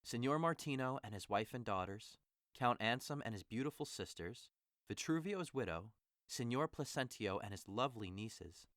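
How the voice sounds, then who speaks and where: A man's voice: mid-range voice, animated, moderate pace, fairly bright tone, no room sound, clean studio sound, lightly acted. A man playing a lovesick, melancholy young nobleman with dramatic, emotive delivery in an audio drama. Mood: polite, curious. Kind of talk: audio drama acting of modernized Shakespeare.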